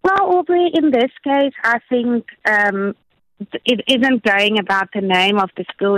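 A woman with an Afrikaans accent, speaking at an average pitch as a guest on a radio interview. She explains her position steadily and pauses often with "um". The sound is dry and close. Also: no echo, poor audio. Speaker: education project coordinator.

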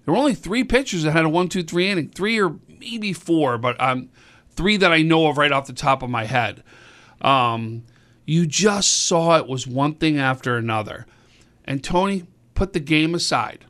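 Man, booming sports-radio regular, eager and rambling, bright forceful tone. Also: normal speed. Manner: excited, rambling, repeats words. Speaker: high school sports commentator and event organizer. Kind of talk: casual radio sports talk.

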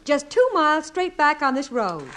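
A long-suffering, practical wife in a radio comedy. Her voice is high and lively, with dry, brisk retorts. The old recording has faint noise. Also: exaggerated voice. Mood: tart. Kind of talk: 1950s radio comedy acting.

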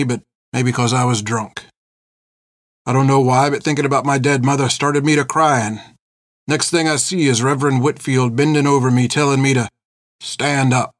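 A male audiobook narrator voicing an old reformed pirate, gruff and haunted, talking in rustic, ungrammatical sailor's speech. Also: mid-range voice, no room sound, studio quality, close to an everyday voice. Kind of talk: dramatic audiobook character acting.

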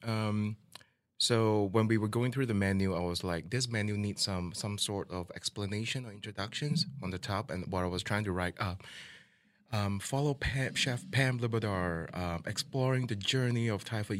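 A man's voice: lowish voice, moderate pace, dry recording. A man, a bar manager, telling a story in a casual interview, acting out what others said. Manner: animated storyteller, quoting others.

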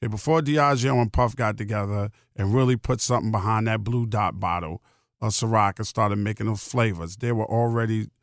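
A man's voice: fairly low pitch, fairly quick, dry recording, studio quality. A middle-aged American man hosting a solo podcast, talking casually in a rambling, emphatic way with repeated phrases and salty slang. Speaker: podcast host.

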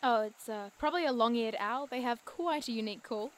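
A woman acts a curious, nature-loving young woman in an audio drama. Her voice sits a bit high, and she speaks earnestly and politely, with small hesitations and stammers. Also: brisk, close to an everyday voice. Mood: fascinated.

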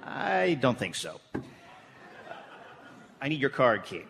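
A man's voice: mid-range voice, very animated, slow pace, a bit bright, a little hiss or hum, full character voice. A man playing an awkward, apologetic radio station boss, stammering politely in a comedic radio play. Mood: politely firm. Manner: hesitant, stammering corporate politeness. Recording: echoey theatre hall.

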